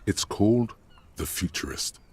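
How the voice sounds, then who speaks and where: An actor voicing a brooding, all-knowing inner voice in a game, solemn and literary in manner. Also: male voice, lowish voice, animated, unhurried, husky. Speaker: game narrator. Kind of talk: scripted game narration.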